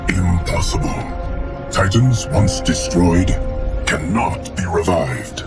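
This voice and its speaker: A man's voice: low-pitched, slow pace. A man playing an impassive immortal keeper of time in a video game, speaking in terse, formal statements. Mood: detached, grave. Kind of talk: cinematic video game acting. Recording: loud music behind the voice.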